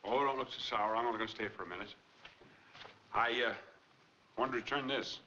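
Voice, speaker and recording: A middle-aged American actor playing a smooth, attentive painter and husband in 1940s film style. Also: male voice, medium pitch, brisk, bright, forceful tone, natural voice. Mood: breezy. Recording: noisy, dull 1940s film soundtrack.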